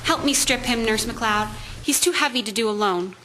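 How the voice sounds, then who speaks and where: A woman acting an excitable, shocked First World War army nurse in a radio drama. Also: a little high, normal speed, slight background noise, low-quality recording.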